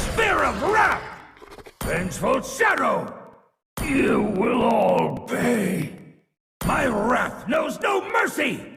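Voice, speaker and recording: An actor playing a vengeful, betrayed warrior of infernal power in a video game, with gravelly, grandiose, menacing delivery. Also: male voice, very high-pitched, pushed and bright, heavily acted. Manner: theatrical, grandiose, menacing declamation. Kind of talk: video game character voice lines. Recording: long reverb, distant, music behind.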